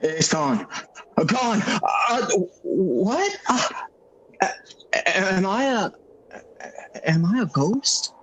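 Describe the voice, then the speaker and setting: A man acts a flustered family man in a radio drama, his pitch swinging widely. He stammers and hesitates, bewildered and desperate, with faint noise and clicks on the recording. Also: very animated, drawn-out words, long pauses, a bit bright, heavily acted, poor audio. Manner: stammering, hesitant, full of uhs.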